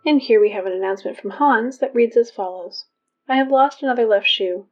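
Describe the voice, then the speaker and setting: A woman's voice: fairly high pitch, fairly quick, lightly acted. A woman playing an exasperated school announcer in a fiction podcast, reading notices with dry, wry asides. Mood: brisk, official. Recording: dull lo-fi PA sound, music behind.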